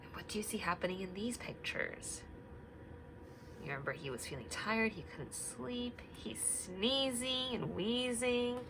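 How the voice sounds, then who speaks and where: A woman teacher talking gently to young children, singing little hand-washing songs and reading a picture book aloud with many questions. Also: fairly high pitch, animated, unhurried, noisy background. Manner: gentle, sing-song, asks many questions.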